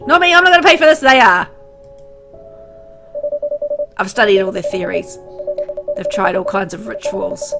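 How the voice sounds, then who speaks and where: A grieving father, bitter and haunted by guilt, voiced by a woman. The delivery is brooding and dramatic, as in a visual novel, with loud music underneath. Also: medium pitch, close to an everyday voice. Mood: cold, resolved. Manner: dramatic, brooding, deliberate phrasing.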